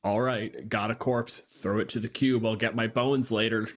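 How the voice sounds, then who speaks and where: A man's voice: lowish voice, fairly even intonation, normal speed. Wry, blunt man in casual podcast chat. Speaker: podcast co-host.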